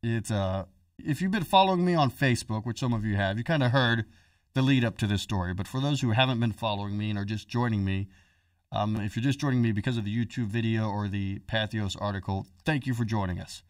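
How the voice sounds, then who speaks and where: A man's voice: on the low side, quick pace, no echo, clean studio sound, recorded from a distance. A man hosting a podcast in casual chat, joking and telling a story in a chatty way. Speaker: podcast host.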